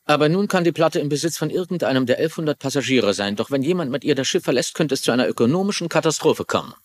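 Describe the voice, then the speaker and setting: A man acts a grave government agent in a TV crime drama. His voice sits fairly high and moves expressively. He explains things with urgency. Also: expressive, fast, a little hiss or hum, lightly acted. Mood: grave, urgent. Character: grave government agent briefing officers on a secret case. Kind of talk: dubbed TV crime drama acting.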